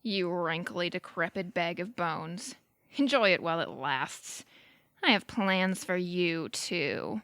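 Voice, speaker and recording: A woman playing a scheming, falsely sweet young widow in her twenties in an audio drama, soft and sugary in manner. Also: lowish voice, lively intonation, takes it slowly, heavily acted. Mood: quietly venomous. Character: scheming 25-year-old gold-digging widow, sweetly false. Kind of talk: audio theatre acting.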